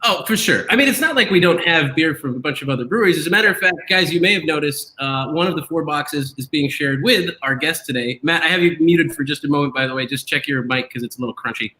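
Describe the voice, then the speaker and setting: A man's voice: a little high, fast talker, few pauses, echoey room, poor audio. A man hosting a casual online show, joking and laughing with his co-hosts. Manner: animated, joking, laughs often. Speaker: host.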